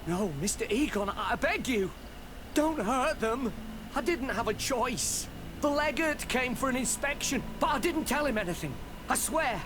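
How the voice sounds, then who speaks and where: An actor playing a frightened, cowardly informant in a video game, pleading and deferential in manner. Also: male voice, very high voice, animated, normal speed, noisy background. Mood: terrified pleading.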